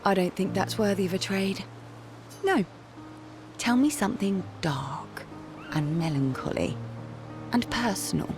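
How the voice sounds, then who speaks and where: A woman acting as a wry, guarded expedition member in a video game, with a dry, teasing, deliberate delivery. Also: medium pitch, expressive. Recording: music under dialogue. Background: game music.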